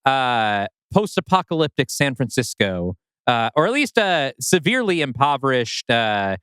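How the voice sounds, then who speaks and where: A man's voice: mid-range voice, very animated, moderate pace, a bit bright, clean tone, no room sound, clean studio sound. A man co-hosting a podcast in casual chat, with a wry, bantering manner. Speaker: podcast co-host.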